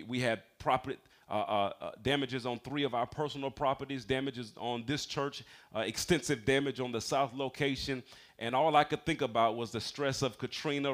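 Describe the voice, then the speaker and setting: A man's voice: mid-range voice, fairly bright tone. American man preaching, emphatic and conversational. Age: 40s. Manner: emphatic, conversational, calls for amens. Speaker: pastor. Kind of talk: sermon, personal testimony. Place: speaking to a congregation in a church building.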